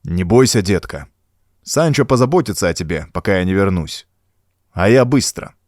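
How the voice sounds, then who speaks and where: A man's voice: deep voice, lively intonation, moderate pace, darkish tone, no echo, studio quality. Man acting a tough, terse western rancher hero. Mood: reassuring. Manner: terse, commanding.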